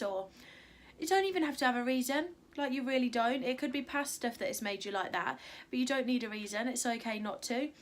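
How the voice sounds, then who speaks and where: A young woman talks casually to camera, her voice a little high and croaky from just waking up. She rambles warmly through her points, full of fillers and friendly encouragement. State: croaky morning voice. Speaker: vlogger. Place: at home.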